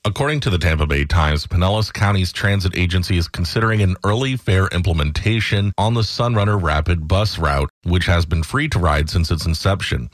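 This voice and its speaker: A man's voice: sits low, fairly quick, few pauses, no echo, clean studio sound. An American man reading scripted news headlines as a radio anchor.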